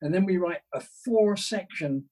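A husky male voice with lively, swinging intonation. He talks as an interview guest, casual and conversational, looping through asides and restarting his sentences as he goes. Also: high voice. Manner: halting, rambling with self-interruptions and asides. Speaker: guest, novelist. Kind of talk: casual interview chat about writing.

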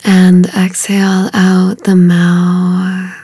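Slow, soft woman guiding meditation. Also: fairly low pitch, completely flat delivery, breathy edge, dry recording. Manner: soothing, unhurried, with long pauses between short phrases. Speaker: meditation guide. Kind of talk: guided meditation.

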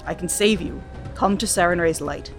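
A woman voicing a gruff, stern older male paladin who teaches a young apprentice, with a growling way of speaking. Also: lowish voice, moderate pace, soft background music, close to an everyday voice. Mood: strained.

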